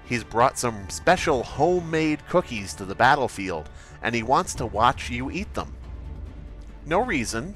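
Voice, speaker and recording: A man acts out an earnest game developer giving a parody update. He is hesitant and sheepish, oversharing in an awkward, creepy way. His low-to-middle voice moves around in pitch, with faint music underneath. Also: big pitch swings, measured pace, fairly bright tone.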